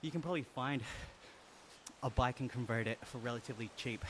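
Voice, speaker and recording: A man's voice: medium pitch, fairly quick, a bit bright, a little hiss or hum. Chatty Australian man streaming while cycling. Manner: upbeat, laughs often, friendly and chatty. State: exercising on an indoor bike while talking. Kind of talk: casual live-stream chat, reading viewer comments.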